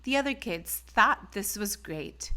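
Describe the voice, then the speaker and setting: A woman narrating a children's storybook aloud in an expressive read-aloud style. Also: mid-range voice, measured pace. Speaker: storybook reader.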